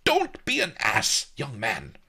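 A man's voice: fairly high pitch, sing-song, slow pace, vocal fry, bright, forceful tone, voice pushed up, full character voice. A man voicing a cunning, indignant old great-grandfather in a storybook, given to theatrical huffing and wailing. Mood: huffy. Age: older. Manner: theatrical, huffy, sobbing outbursts.

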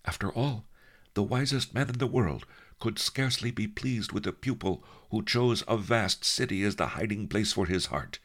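A man voicing a sly, commanding old sage in a story, with a deliberate, shrewd way of talking. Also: lowish voice, fairly bright tone, pitched above the performer's own voice.

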